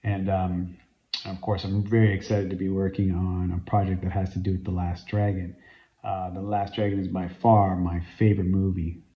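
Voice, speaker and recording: A man's voice: sits low, level tone, moderate pace. A Canadian man in his forties talks earnestly and enthusiastically to his phone camera in a small room, with plenty of ums and uhs. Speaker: fan site founder and interviewee. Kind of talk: casual interview talk to camera. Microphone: phone camera at arm's length. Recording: roomy, slightly dull phone audio.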